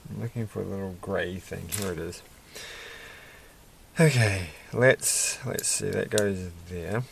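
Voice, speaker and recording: A man rambling softly to himself while building a toy kit at his desk at night, self-deprecating and a bit tired. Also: deep voice, slow, gravelly, background noise. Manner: soft-spoken, muttering to himself, self-deprecating. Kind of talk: casual rambling build commentary.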